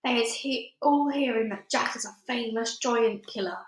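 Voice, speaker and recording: A gentle-sized-up storybook giant, male and earnest, acted out by a woman reader. He explains things carefully in quirky, muddled grammar, with a fairly high voice and a roomy echo around it. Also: normal speed, lightly acted. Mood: earnest explaining.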